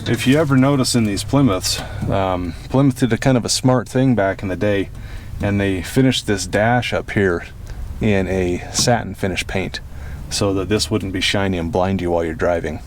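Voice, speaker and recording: A man's voice: sits low, moderate pace. A man hosting a car restoration video, talking casually, plainly and with firm opinions as he works. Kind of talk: casual hands-on vlog commentary while working. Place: in or at a car being worked on. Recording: distant mic, noisy background.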